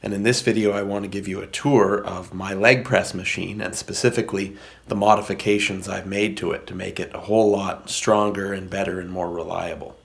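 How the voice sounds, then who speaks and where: A man's voice: sits low, level tone, a little fast, vocal fry. A man with a cold and a congested voice, hosting a casual walkthrough of his home gym equipment and explaining it plainly. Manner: plain, explanatory, pointing things out. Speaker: fitness channel host.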